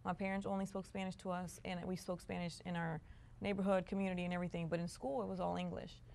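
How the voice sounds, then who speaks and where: Woman from Puerto Rico, gravelly voice. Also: on the low side, level tone, quick pace, darkish tone, slight background noise. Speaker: guest. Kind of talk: casual interview talk. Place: small living-room studio set.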